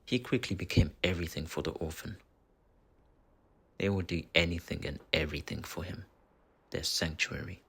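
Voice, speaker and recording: A man playing a menacing, mocking dark spirit in a possessed body, gravelly and husky, in a theatrical fantasy audio roleplay. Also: deep voice, fairly even intonation, heavily acted. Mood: sly storytelling. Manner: theatrical, taunting, deliberate with dramatic pauses.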